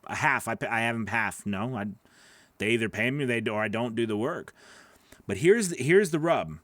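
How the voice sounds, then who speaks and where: A man in his thirties with a somewhat nasal voice, talking casually to viewers during a livestream Q&A. He often repeats words as he talks, and his voice sits at an ordinary middle pitch. The sound is close and dry, from a boom mic in a small home studio. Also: lively intonation, normal speed, a bit bright, dry recording. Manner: chatty, repeats words, reads viewer questions aloud. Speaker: voice actor hosting a live Q&A. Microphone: large studio microphone on boom arm.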